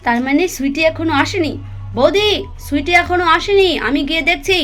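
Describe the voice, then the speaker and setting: A woman's voice: very high-pitched, brisk, fairly bright tone, faint music behind. A woman voicing an anxious villager searching for a missing relative, with urgent questioning, in a puppet cartoon. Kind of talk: Bengali puppet cartoon voice acting.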